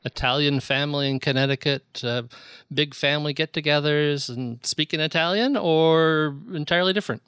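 A man hosting an interview with a bright, forceful voice, recorded dry and close. He sits a little high in pitch and keeps his questions short and friendly. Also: moderate pace, clean tone, no room sound. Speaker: podcast host.

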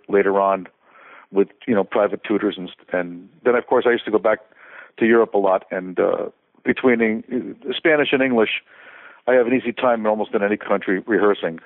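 A man's voice: lowish voice, no echo. An older man from Connecticut talks through a tinny, phone-like line that sounds dry and close. His talk rambles along, full of restarts and small fillers, in a casual interview manner. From Connecticut, USA. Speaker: guest, conductor and composer.

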